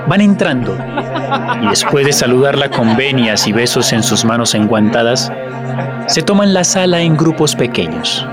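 Drama narrator: man, expressive melodic delivery, measured phrasing, strong music and crowd bed. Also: mid-range voice. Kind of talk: scripted narration of a radio drama, present tense. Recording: loud music and crowd behind.

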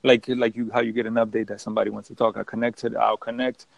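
A man's voice: mid-range voice, level tone, quick pace, gravelly, no echo. A young man from the Dominican Republic who hosts a podcast, chatting casually and rambling with many restarts. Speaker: podcast host. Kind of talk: casual chat on a call.

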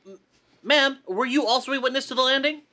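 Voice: high voice, brisk, sharp tone, pitched above the performer's own voice. A performer playing an earnest, formal radio news reporter in a comic radio play. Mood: grave, inquiring.

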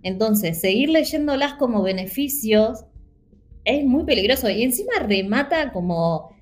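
Argentine woman, rioplatense accent, earnest, animated, faint music behind. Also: fairly high pitch, a little slow. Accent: Rioplatense Spanish. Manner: earnest, animated, many fillers. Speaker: panelist. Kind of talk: casual discussion.

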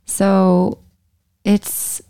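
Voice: mid-range voice, monotone, extremely slow, warm tone, clear, resonant tone. A radio host who chats in a laid-back, rambling way and trails off mid-sentence. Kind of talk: casual radio chat.

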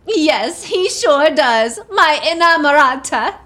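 A woman's voice: sits high, expressive, takes it slowly, pushed and bright, exaggerated voice. A woman playing an eager, imperious self-styled duchess in a radio play, mixing mock-regal formality with folksy talk. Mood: grand.

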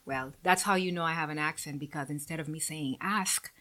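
A woman's voice: low voice, a little fast, crowd noise. A woman in her forties from Guyana with a Guyanese accent, a guest talking candidly and earnestly in an interview.

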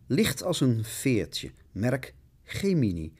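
A man's voice: mid-range voice, wildly swooping pitch, slow pace, darkish tone. A man narrating a scripted radio essay in a melodramatic, mock-dramatic, declamatory manner.

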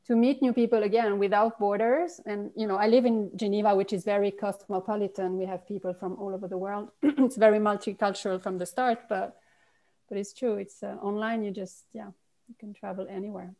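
A woman speaking English answers as an interview guest in a mellow, warm voice. She thinks aloud, circling back with fillers and restarts as she talks. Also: mid-range voice, fairly even intonation, brisk, low-quality recording. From Switzerland. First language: French. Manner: reflective, rambling with many restarts and fillers. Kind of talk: casual conversational interview.

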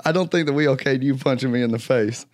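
A man's voice: mid-range voice, fast, a little mellow, dry recording. A man co-hosting a casual podcast, joking and rambling with lots of fillers. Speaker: podcast co-host. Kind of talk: casual chat.

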